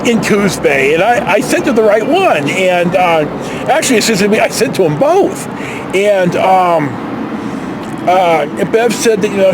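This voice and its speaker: High-pitched American man telling personal stories. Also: background noise. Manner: rambling, reflective, halting. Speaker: storyteller vlogger. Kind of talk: casual personal storytelling.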